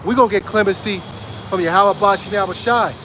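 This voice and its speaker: A man preaching outdoors in an emphatic street sermon style, repeating lines and checking in with "right?", his voice going hoarse. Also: sits high, a little fast, pushed and bright, slight background noise, rough recording.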